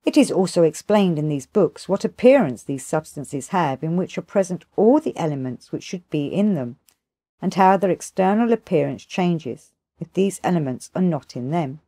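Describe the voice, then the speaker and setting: Mellow, lowish-voiced woman reading an audiobook. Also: expressive, moderate pace, dry recording. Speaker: audiobook narrator. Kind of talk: scripted literary narration, storytelling read aloud.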